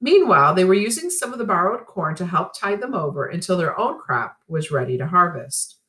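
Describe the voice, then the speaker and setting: Woman reading expressively to children, lively pitch. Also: brisk, roomy sound. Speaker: teacher reading to pupils. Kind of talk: read-aloud storybook narration for children.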